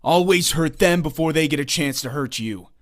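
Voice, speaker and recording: An actor playing a defiant young hero and former sidekick in a comic dub, speaking bluntly and with feeling. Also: male voice, on the high side, normal speed, fairly bright tone, close to an everyday voice. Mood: bitter, confrontational. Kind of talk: comic dub dramatic acting.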